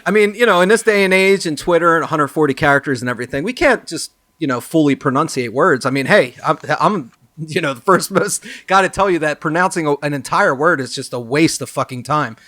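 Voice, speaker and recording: A man's voice: fairly high pitch, animated, a little fast, hardly any pauses, pushed and bright, no echo, studio quality. A young man co-hosting a comedy podcast, rambling and playful as he riffs on a made-up bit. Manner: rambling, laughing often, playful. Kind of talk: improvised comedy riffing.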